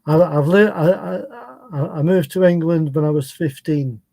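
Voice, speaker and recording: A man's voice: fairly high pitch, normal speed, vocal fry, warm tone, dry recording. A man from Scotland living in England, chatting easily on a video call and often repeating himself. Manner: easygoing, repeats himself, agreeable. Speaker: guest. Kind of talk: casual chat.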